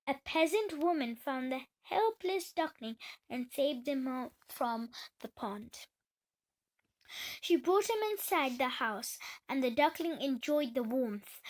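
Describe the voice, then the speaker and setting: A woman's voice: sits high, a little mellow. A woman reading a children's story aloud as narrator, in a storybook read-along style.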